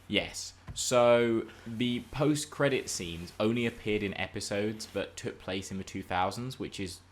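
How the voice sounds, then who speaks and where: Low-pitched male podcast host, opinionated. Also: measured pace. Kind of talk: casual pop culture discussion.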